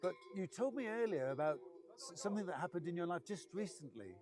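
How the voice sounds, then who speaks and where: A woman's voice: on the low side, animated, over music. Chatty woman host interviewing on a trek. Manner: chatty, encouraging, quick to affirm. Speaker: travel documentary host. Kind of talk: casual on-camera interviewing. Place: outdoors on a Himalayan trek.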